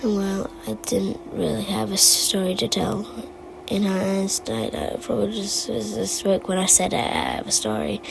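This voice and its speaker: Woman playing a rambling, creaky-voiced forgetful storyteller. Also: mid-range voice. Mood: wistful, halting. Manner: halting, digressive, stammering. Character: rambling, forgetful storyteller who muses about odd small memories. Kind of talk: fan recital of game character voice lines. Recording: amateur recording with clear background noise.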